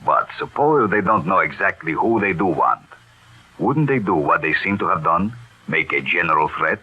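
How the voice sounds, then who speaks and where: An actor playing a grave, suspicious doctor who speaks in a husky, deliberate, ominous way in a radio drama. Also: male voice, low voice, expressive, muffled recording. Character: suspicious, grave male doctor, warning of danger. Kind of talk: old-time radio drama acting.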